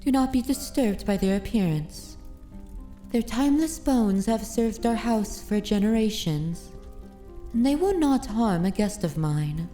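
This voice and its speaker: Woman acting a slow, mellow, courtly vampire mistress. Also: mid-range voice, lively intonation, soft background music, close to an everyday voice. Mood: courteous. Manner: formal, unhurried, aristocratic phrasing. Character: centuries-old noble vampire mistress, widowed, courtly, commanding, wistful. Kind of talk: ASMR roleplay audio drama.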